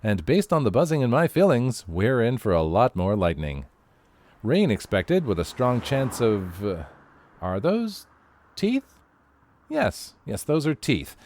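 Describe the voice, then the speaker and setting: A man's voice: medium pitch, sing-song, measured pace, darkish tone. A man playing a field weather reporter in an audio drama, breezily delivering news of horrors like a newscaster. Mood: startled. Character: chirpy male field weather reporter amid eldritch horror.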